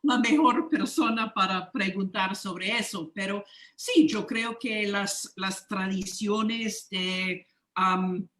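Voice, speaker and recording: A woman's voice: mid-range voice, takes it slowly, a bit bright, reverberant. A woman on a discussion panel, speaking hesitantly with frequent fillers.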